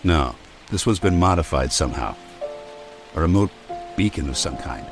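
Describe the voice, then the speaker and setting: Sardonic military outcast, very deep voice, deadpan and disapproving, radio drama with music underneath. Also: male voice, moderate pace. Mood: gruff, serious. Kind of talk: old-time radio drama acting.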